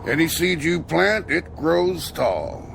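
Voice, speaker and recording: An easygoing long-haul trucker, folksy and relaxed in his drawl. His voice is deeply gravelly with fry, set slightly high, with faint noise under it. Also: slow pace, full character voice. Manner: folksy, drawling, laid-back. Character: easygoing modern trucker, friendly and talkative.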